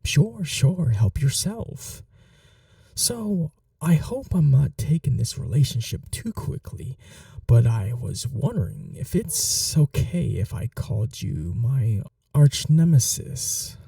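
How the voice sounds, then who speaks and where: A soft, very dark-toned young supervillain, acted by a man rambles eagerly in an ASMR roleplay, swinging widely in pitch. He is awkward and smitten, boasting sheepishly and slipping into hushed asides. The sound is roomy and slightly away from the mic. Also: big pitch swings, slow, creaky, far from the mic, heavily acted. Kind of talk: ASMR roleplay audio drama, addressing the listener.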